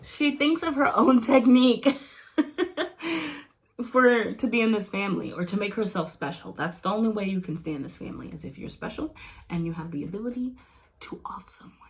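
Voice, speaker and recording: A woman's voice: on the high side, expressive, normal speed. Young woman yapping away in a casual review, giggles a lot, lots of likes and ums. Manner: chatty, giggly, rambling with many fillers. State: losing her voice. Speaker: vlogger reviewing comics.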